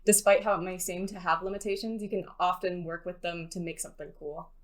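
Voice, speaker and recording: A woman's voice: fairly low pitch, fairly even intonation, a little fast. A woman giving casual, conversational answers as an interviewed poet.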